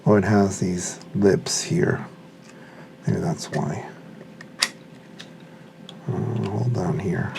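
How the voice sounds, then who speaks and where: A man's voice: sits low, very slow, gravelly, pauses often, noisy background. A man giving casual hands-on unboxing commentary, muttering to himself as he works with his hands. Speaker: hobbyist reviewer.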